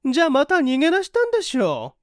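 A scornful landlady in her fifties, acted by a woman for a storybook reading. She is high and shrill, scolding in indignant bursts with big swoops of pitch. Her temper is short and her manner biting. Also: wildly swooping pitch, fast talker, dry recording, clean studio sound. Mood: indignant tirade. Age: 50s. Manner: snapping, scornful tirade.

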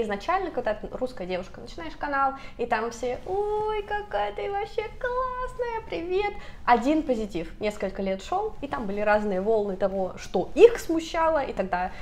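A woman in her thirties talking to camera in a casual vlog, speaking animatedly and gesturing a lot, recorded on a lapel mic in a bright apartment room. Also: high voice, sing-song, normal speed. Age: 30s. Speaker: vlogger.

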